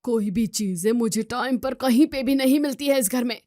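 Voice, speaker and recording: Actress as short-tempered adult son and husband, nagging, higher pitch, dry recording, family drama. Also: female voice, moderate pace, warm tone, no echo, lightly acted. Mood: angry. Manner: nagging, complaining. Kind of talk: Hindi family drama voice acting.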